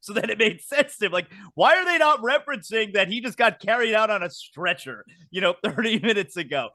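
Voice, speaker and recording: A man's voice: high-pitched, animated, a little fast, sharp tone, dry recording, clean studio sound. A middle-aged man hosting a casual wrestling talk show, chatty and prone to repeating words as he restarts sentences. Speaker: podcast host.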